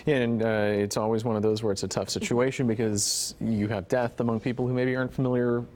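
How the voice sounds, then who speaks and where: American male news anchor, medium pitch. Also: steady tone, fast, a little mellow. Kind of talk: broadcast news reading with casual co-anchor banter.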